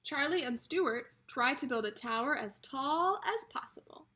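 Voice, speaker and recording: A woman's voice: high-pitched, animated, a little slow, pushed and bright. A woman teacher reading a picture book aloud to young children, explaining things simply and speaking to them directly as friends.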